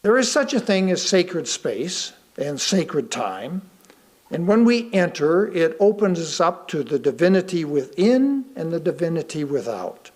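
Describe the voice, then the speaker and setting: Guy reading a sermon to a congregation, pretty high voice, slow and deliberate w pauses. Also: sing-song, darkish tone. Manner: deliberate, with pauses between short phrases. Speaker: guest speaker reading a sermon. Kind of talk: sermon read aloud to a congregation.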